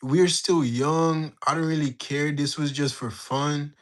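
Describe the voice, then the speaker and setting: A young man in his late teens from Jamaica, telling a story in a slangy, animated way while bantering with his livestream chat. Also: on the high side, a little slow. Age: young adult. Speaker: livestream host. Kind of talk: casual storytelling to live chat.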